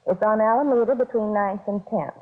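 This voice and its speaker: A woman's voice: mid-range voice, fairly quick, lightly acted. An actress playing a middle-aged housewife in a radio police drama, polite but uneasy and hesitant about her husband. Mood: puzzled, uneasy. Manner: hesitant, fretful. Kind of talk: 1950s radio drama acting. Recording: muffled, band-limited old radio recording.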